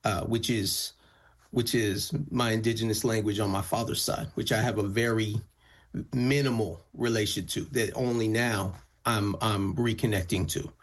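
A man's voice: lowish voice, fairly even intonation, normal speed. A male musician whose first language is Spanish, answering interview questions thoughtfully, often restarting his phrases. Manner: thoughtful, frequent restarts and fillers. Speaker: musician guest.

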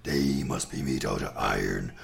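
A man's voice: extremely low pitch, moderate pace, vocal fry, pitched below the performer's own voice. A man voicing a boastful, greedy male giant, blustering and growling in a told folktale. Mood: uneasy. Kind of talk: storytelling performance.

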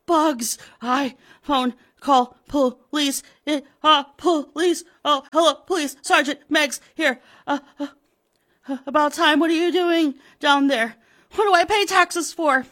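A woman's voice: mid-range voice, animated, measured pace, a bit bright, no room sound, studio quality. A woman voicing a ruthless, contemptuous rich old businessman with a sneering, arrogant manner, told as a spoken story. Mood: panicked.

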